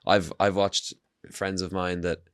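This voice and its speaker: Deep-voiced man, dry close sound. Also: flat delivery, unhurried, smooth, no echo. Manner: rambling, friendly questions. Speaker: podcast host. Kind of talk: casual interview.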